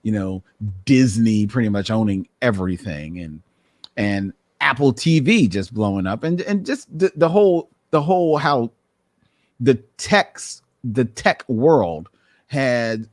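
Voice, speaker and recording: Lively, laughing male podcast host. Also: mid-range voice, measured pace, no echo, clean studio sound. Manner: lively, laughing, meandering sentences. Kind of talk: casual podcast chat. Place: room full of Batman memorabilia.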